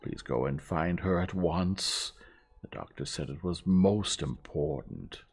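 A man's voice: sits low, slow pace, breathy edge, exaggerated voice. A man voicing an imperious, fault-finding old lady with a snappish, condescending manner in an audiobook. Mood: scolding, haughty. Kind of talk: audiobook character acting.

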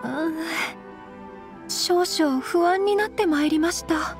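A refined, earnest young noblewoman for an anime game. The voice is high and gentle, with thoughtful, formal and measured phrasing. Music is clearly heard behind it. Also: full character voice. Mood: hesitant. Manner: very polite, measured, formal speech. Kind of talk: anime game character acting.

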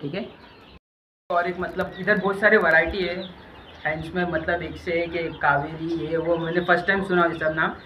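A man's voice: fairly high pitch, fairly even intonation, normal speed, dull audio. Young man vlogging on a clucking poultry farm. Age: young adult. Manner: chatty, fills with "matlab" often, repeats answers back. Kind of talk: casual walkthrough interview. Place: outdoor poultry farm sheds. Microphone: handheld phone camera. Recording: phone audio with clear farm noise. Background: chickens clucking.

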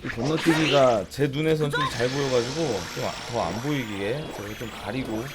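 A man with a hoarse, cracking, sore-throated voice giving casual commentary as the host of a gaming video. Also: mid-range voice, moderate pace, very noisy. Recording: loud music behind the voice. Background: loud music.

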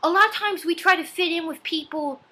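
Woman playing an earnest, bright-voiced teenage boy vlogger. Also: very high voice. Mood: earnest, preachy. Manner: earnest, deliberate, preachy. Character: earnest fifteen-year-old nerdy boy video blogger. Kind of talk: amateur self-help vlog monologue. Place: small home room. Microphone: laptop webcam at arm's length.

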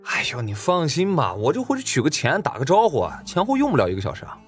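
A man's voice: on the high side, huge pitch swings, fast talker, soft background music. A man playing an easygoing, hesitant working man and loyal buddy, talking colloquially in an audiobook drama.

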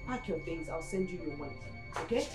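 A woman's voice: low-pitched, lively intonation, brisk, roomy sound, loud music behind the voice, voice pushed down. A woman voicing a stern, angry woman employer who accuses her maid coldly. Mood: cold anger. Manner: cold, accusing.

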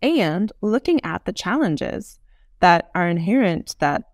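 A woman's voice: lowish voice, very animated, unhurried, dry recording, studio quality. A woman speaking as an interview guest, explaining ideas thoughtfully and repeating for emphasis. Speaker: podcast guest, futurist author.

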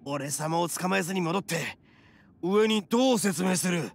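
An actor playing a brash, loyal, hot-blooded oni gang boss in a Japanese anime game, rough, cocky and gravelly. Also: male voice, sits high, moderate pace, a little hiss or hum. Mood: fierce. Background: game music.

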